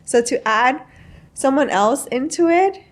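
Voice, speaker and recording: A woman hosting a podcast in casual chat, expressive and emphatic, freely sharing her own opinions. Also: high voice, a little slow. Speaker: podcast host.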